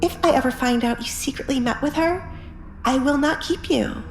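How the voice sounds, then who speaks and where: A woman's voice: high-pitched, moderate pace, echoey room, voice pushed up, rough recording. A woman playing a guarded, commanding young noblewoman in an audiobook drama, speaking in a firm, controlled way. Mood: stern. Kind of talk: audiobook drama character acting. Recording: music behind the voice.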